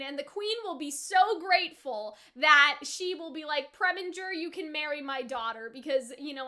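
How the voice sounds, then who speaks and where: High, bright-voiced woman chatting casually. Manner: chatty, animated retellings with slangy asides. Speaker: anime reaction host. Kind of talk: casual reaction commentary.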